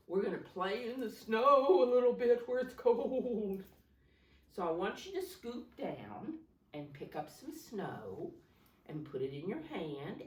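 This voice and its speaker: A woman's voice: lowish voice, slight background noise. A woman leading storytime for young children, talking simply and instructively with questions and prompts as she reads a picture book aloud. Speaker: preschool teacher reading a picture book aloud. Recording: roomy echo, distant microphone.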